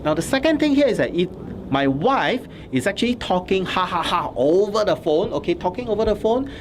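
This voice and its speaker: A man hosting a tech interview at a trade fair booth, recapping features in a lively, filler-heavy way with lots of "okay?". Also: high-pitched, a little fast. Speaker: tech reporter host. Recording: noisy trade fair background.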